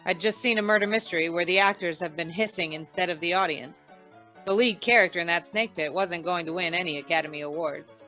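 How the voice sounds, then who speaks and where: A woman's voice: fairly low pitch, steady tone, a little fast, pushed and bright, faint music behind, poor audio. A woman playing a wry, hard-boiled insurance investigator in an old-time radio drama, with dry, tough delivery. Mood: wry, tense narration.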